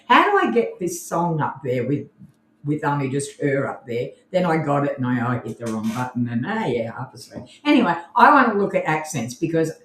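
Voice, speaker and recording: Chatty Australian woman in an echoey room. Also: sits low. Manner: chatty, rambling, frequent restarts and laughs. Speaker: podcast co-host. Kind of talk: casual live podcast chat. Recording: roomy echo.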